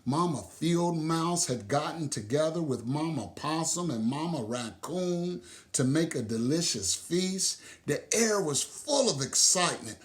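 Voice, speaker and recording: A man telling and explaining a children's story in a deliberate, expressive way. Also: on the high side.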